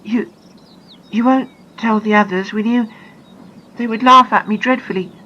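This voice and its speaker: A woman voicing a frightened, excitable young girl who cries out in shrill, breathless panic and pleads for help, with soft music behind. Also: moderate pace, highs cut off. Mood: embarrassed.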